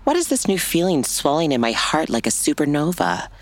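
A woman's voice: sits low, very animated, a little fast, no room sound. A woman playing a haughty, dramatic alien older sibling in an audio drama, with a pompous, theatrical manner. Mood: grandly dramatic.

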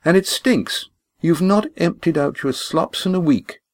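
A man voicing a fiery, sharp-tongued young woman with shrill, indignant outbursts. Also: lively intonation, unhurried, no echo. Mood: prickly.

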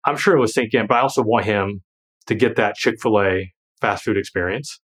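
A man's voice: lowish voice, brisk, fairly bright tone, husky. A man in his thirties talking casually in a podcast conversation, rambling with many fillers and restarts and laughing often. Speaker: guest, former financial planner and creator.

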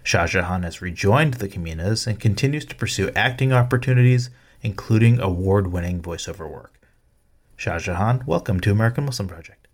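A man with a fairly low voice speaks as a podcast host, in the manner of introductions and interviews. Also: lively intonation, a little fast.

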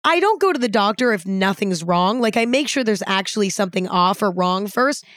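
A young woman in her twenties with a raspy voice, talking frankly and casually on her own podcast, with some swearing. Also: medium pitch, lively intonation, a little fast, fairly bright tone, no echo, studio quality. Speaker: podcast host. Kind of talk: candid solo podcast talk.

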